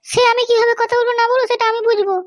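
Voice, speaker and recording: A man voicing a bossy, short-tempered middle-aged cartoon wife with a West Bengal accent and a pitched-up voice. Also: flat delivery, very fast, dry recording, studio quality. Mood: scolding. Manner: scolding, threatening. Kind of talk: comic cartoon dialogue.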